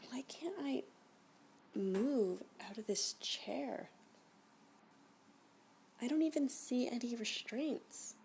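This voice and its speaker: Woman playing a smitten stalker woman, ASMR roleplay, coy, unhurried, whispery, creaky, faint background noise. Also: fairly high pitch, animated, a little mellow. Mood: puzzled. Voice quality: breathy, often slipping into whisper. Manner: coy, slow, unhurried, with giggly asides. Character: flirty obsessive woman stalker, eagerly smitten with her captor.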